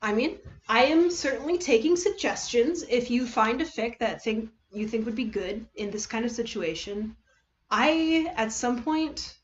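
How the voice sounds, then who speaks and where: A woman chatting casually to viewers as a livestream host, halting and rambling, often trailing off and correcting herself. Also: fairly high pitch, muffled recording. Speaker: livestream host reading fan fiction.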